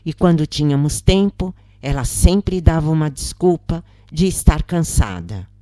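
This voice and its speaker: Woman reading a dramatic story, low mellow voice, takes her time. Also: lively intonation, unhurried, no room sound, studio quality. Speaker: narrator. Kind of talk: scripted, dramatic first-person story narration.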